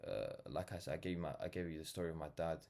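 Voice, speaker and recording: A man's voice: extremely low pitch, lively intonation, quick pace, vocal fry, darkish tone. A nineteen-year-old man with a London accent talking casually and reflectively to camera, earnest and rambling, often saying "like" and "you know". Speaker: vlogger, brand founder.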